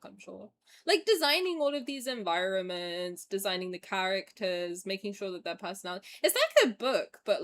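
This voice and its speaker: A woman's voice: mid-range voice, fairly bright tone. A woman in her twenties streaming a game, chatting casually and animatedly with viewers, sometimes swearing and reading game text aloud. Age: 20s. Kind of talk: casual live-stream gameplay chat.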